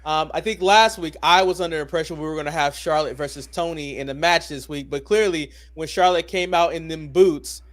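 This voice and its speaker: A man's voice: on the high side, brisk, few pauses, a bit bright. A man hosting a casual sports talk podcast, speaking informally with slang and steering the discussion with questions. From United States. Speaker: wrestling podcast host.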